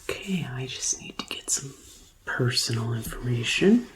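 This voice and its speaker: A woman doing a soft-spoken, often whispered ASMR roleplay as a gentle, deliberate receptionist attending to a customer. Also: a bass voice, lively intonation. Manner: slow, gentle, deliberate customer-service delivery. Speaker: ASMR performer playing a vampire conversion receptionist.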